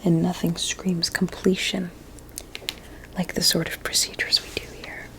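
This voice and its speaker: A woman playing a sinister, courteous doctor in an ASMR roleplay, mostly in a soft, breathy whisper with a measured, clinical manner. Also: fairly low pitch, little pitch movement, poor audio. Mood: soothing, hypnotic. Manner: slow, measured, clinical and politely formal.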